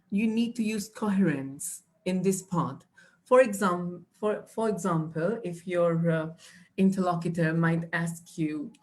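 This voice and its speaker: Lowish-voiced woman teaching. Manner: explanatory, patient, with many fillers and restarts. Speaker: IELTS trainer. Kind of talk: live online teaching.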